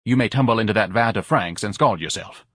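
A man voicing a gruff, blunt, short-tempered hot dog vendor boss in an audiobook. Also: on the low side, a little fast, a bit bright, no room sound, studio quality. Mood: grudging, impatient.

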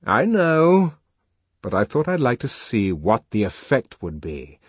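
Man voicing an animated, reckless lecturer-poet. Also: mid-range voice, measured pace, slightly rough, no echo, studio quality, heavily acted. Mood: amused. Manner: animated, emphatic, amused storytelling. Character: buoyant, reckless male lecturer and poet, amused by trouble. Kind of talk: audiobook character dialogue.

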